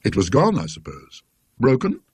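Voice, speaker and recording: A man plays an educated British gentleman in a storybook ghost tale. He is formal, courteous and quietly inquisitive, with measured phrasing, a husky edge and expressive pitch in a dry recording. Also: no echo, full character voice. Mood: curious, attentive. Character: polite, educated gentleman scholar, mildly inquisitive.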